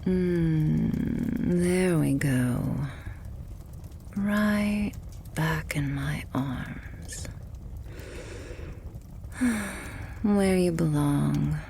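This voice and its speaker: A woman playing a loving, possessive vampire girlfriend in an intimate ASMR roleplay, murmuring softly and breathily. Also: deep voice, a little hiss or hum, heavily acted. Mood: tender, cozy. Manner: soft, breathy, slow murmuring that often drops to whispers.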